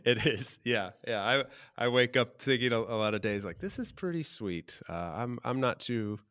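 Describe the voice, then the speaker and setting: A man hosting a podcast interview, talking in a relaxed, hesitant way. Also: mid-range voice, normal speed, smooth, no echo. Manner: relaxed, hesitant, with restarts and fillers. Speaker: podcast host. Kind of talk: conversational interview.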